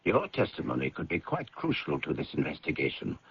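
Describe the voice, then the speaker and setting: An actor playing a cool, probing police inspector in a radio mystery, raspy and formal in manner. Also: male voice, rumbling low, wildly swooping pitch, brisk, no room sound, heavily acted. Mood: stern, suspicious. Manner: formal, clipped, official. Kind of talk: radio drama acting. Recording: dull, lo-fi vintage radio recording.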